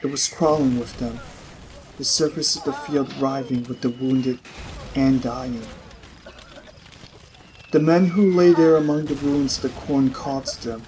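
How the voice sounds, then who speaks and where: Male audiobook narrator, medium pitch, dramatic storytelling delivery, light ambience behind. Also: unhurried, pauses often, darkish tone, slight background noise, poor audio.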